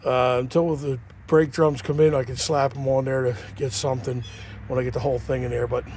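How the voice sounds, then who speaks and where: Everyday man, mid pitch, sniffly cold, rambling DIY vlog voice, unscripted. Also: level tone, fairly quick, darkish tone, a little hiss or hum. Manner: rambling, unpolished, with many fillers and restarts. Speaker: home mechanic. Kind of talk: casual garage how-to. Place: outdoors, cold.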